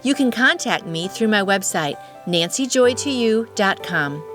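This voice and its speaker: A woman's voice: mid-range voice, expressive, faint music behind. A woman hosting a morning devotional, reading a passage and adding chatty asides in her own words. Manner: warm, chatty asides, slows for scripture. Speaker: devotional host. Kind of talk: reading a daily devotional with casual commentary.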